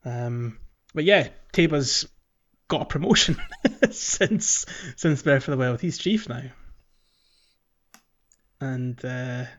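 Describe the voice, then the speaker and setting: A man talks casually as a podcast co-host, feeling his way through thoughts with an amused, wandering manner. His voice sits at an average male pitch. The recording is a little muffled and lo-fi. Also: slow, pauses often, a bit bright. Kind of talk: casual chat about a video game.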